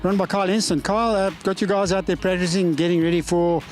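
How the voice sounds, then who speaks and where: Male interviewer, on location, slightly high register, light music underneath. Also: steady tone, quick pace.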